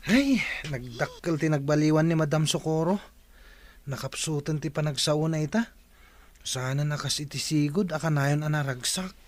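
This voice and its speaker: A man's voice: on the high side, moderate pace, natural voice. A man playing a friendly, respectful young student in a radio drama. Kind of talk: Ilocano radio drama acting.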